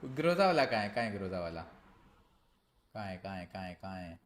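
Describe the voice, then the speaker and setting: A man livestreaming a game, chatting casually in slang, reacting excitably and muttering to himself. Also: low voice, a little fast. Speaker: gaming streamer. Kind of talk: casual livestream chat with viewers. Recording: clear background noise and clicks.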